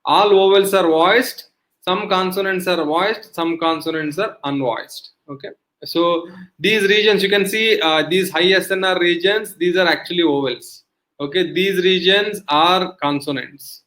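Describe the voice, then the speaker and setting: A man's voice: sits high, unhurried, fairly bright tone, poor audio. A middle-aged man giving an online technical lecture, explaining step by step with frequent fillers and checks of "okay". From India.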